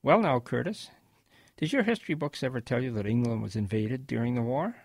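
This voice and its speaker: An older man playing an elderly grandfather, reminiscing in a folksy, gravelly way as he narrates a radio drama. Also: mid-range voice, expressive, a little fast, dry recording. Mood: wry. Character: elderly grandfather recounting his wartime boyhood.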